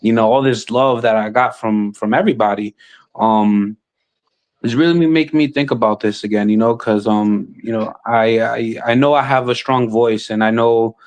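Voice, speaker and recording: A man who sits on a city council, talking casually and haltingly about politics, filling his speech with "you know" and restarts. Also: mid-range voice, fairly even intonation. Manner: halting, many fillers and restarts. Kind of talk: casual chat.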